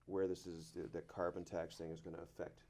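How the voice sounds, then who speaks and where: A man talking casually as a panel guest, plain-spoken and somewhat halting. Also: deep voice, level tone, darkish tone. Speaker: panel guest, public works worker. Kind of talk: casual chat.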